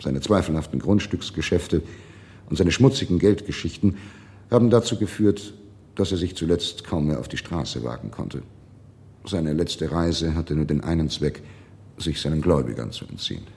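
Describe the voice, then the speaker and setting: An actor playing a disdainful, doctrinaire Marxist party functionary in a radio drama, speaking formally and officiously. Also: male voice, a bass voice, expressive, moderate pace, creaky, darkish tone, heavily acted. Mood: stern disapproval. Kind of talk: 1970s German radio drama acting.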